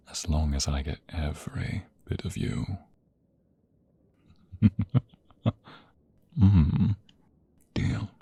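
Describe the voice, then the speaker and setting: A man playing a devoted, regal king in love in a romantic ASMR audio drama, speaking intimately in a breathy voice with courtly phrasing. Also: a little mellow, heavily acted. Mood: tender, devoted. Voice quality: deep, breathy, often whispering.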